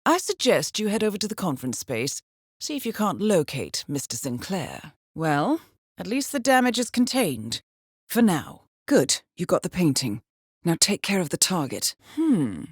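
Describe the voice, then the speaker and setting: An actress playing a composed, shrewd intelligence handler in a video game, briefing an assassin in a measured, formal way with dry understatement. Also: female voice, fairly low pitch, sing-song, breathy edge, no room sound. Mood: dryly approving. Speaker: mission handler guiding an assassin. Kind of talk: scripted video game voice acting, briefing narration.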